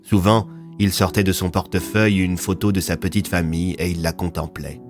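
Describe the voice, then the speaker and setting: A man's voice: deep voice, little pitch movement, brisk, faint music behind. A man narrating his audio fiction from a script as its author.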